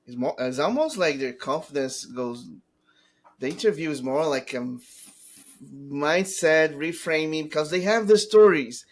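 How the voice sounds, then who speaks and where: A man from Brazil with a Brazilian Portuguese accent, hosting a podcast and asking rambling, excited questions with many restarts. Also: a little high, unhurried. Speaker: podcast host. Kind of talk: casual podcast interview.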